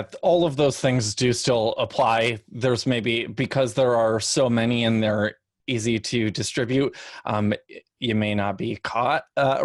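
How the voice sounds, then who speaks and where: A man on a panel giving casual, measured explanations with careful qualifications. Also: mid-range voice, no echo, clean studio sound. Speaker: podcast panelist.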